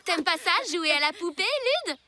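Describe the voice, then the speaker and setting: A woman playing a teasing, giggly child sidekick of a bully in an animated cartoon dub. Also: very high-pitched, brisk, heavy background noise.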